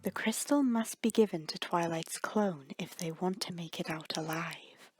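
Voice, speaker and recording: A woman reading a scripted story as narrator, measured and dramatic, with deliberate pauses. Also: lowish voice, darkish tone, breathy edge.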